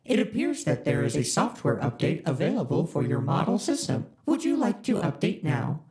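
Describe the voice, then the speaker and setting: A chipper spaceship computer played by an actor reads out company prompts in a formal announcer manner. Its pitch swings lively up and down. The voice sits in a roomy, echoing space. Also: normal speed. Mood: prompting. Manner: formal, scripted announcer delivery. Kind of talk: audio drama acting. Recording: roomy echo.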